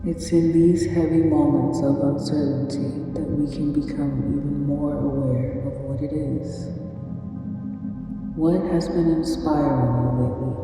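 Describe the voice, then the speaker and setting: A performer voicing a gentle, wise robot guide of dreams, speaking in a soothing, theatrically poetic way for a guided meditation. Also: mid-range voice, fairly even intonation, unhurried, recorded from a distance, close to an everyday voice. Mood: soothing, mysterious. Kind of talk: guided meditation role-play. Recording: echoey long reverb over music.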